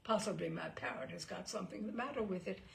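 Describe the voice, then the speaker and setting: A woman's voice: medium pitch, fast talker, creaky, slight background noise. A woman voicing a jovial, breezy old aristocratic uncle in a storybook reading, hearty and airy in manner. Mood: breezy, confiding. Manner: hearty, airy, upper-class turns of phrase.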